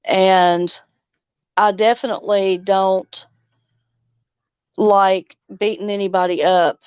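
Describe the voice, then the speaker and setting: A woman talks at an unhurried pace in a folksy, casual manner, as a livestream host chatting with viewers. She calls people honey and reads comments aloud. The phone audio is dull and very dry. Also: lowish voice, a little mellow, smooth, dry recording. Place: outdoors, on a phone.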